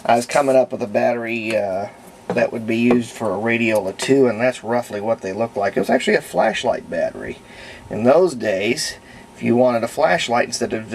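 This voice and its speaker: A man giving a casual, unscripted hands-on demonstration as a hobbyist restorer, thinking aloud in an unhurried way. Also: medium pitch, vocal fry, slight background noise. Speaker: hobbyist restorer of antique radios, presenter.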